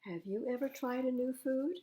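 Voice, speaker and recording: An older woman reading picture books aloud as a library storytime host, in an expressive, animated manner. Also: high-pitched, normal speed, rough recording. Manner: animated, acting out dialogue.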